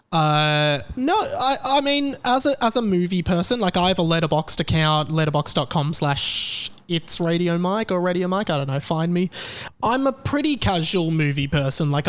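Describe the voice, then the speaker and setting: A man's voice: on the high side, fairly bright tone, smooth. A young man hosting his own podcast, chatting casually with sarcasm and frequent laughter. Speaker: podcast host. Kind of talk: casual solo chat.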